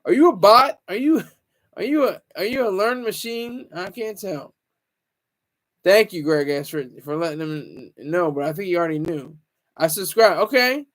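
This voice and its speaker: Guy with a pretty high voice joking around with chat on a stream, lots of stammers. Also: lively intonation, moderate pace, a bit bright. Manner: rambling, teasing and joking with viewers; stammers and restarts often. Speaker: livestream host. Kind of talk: casual livestream chat.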